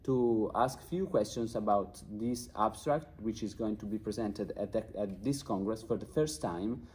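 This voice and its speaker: A man's voice: fairly low pitch, unhurried, darkish tone. A man acting as interviewer, speaking in the formal style of a research interview. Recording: faint background noise and ambience.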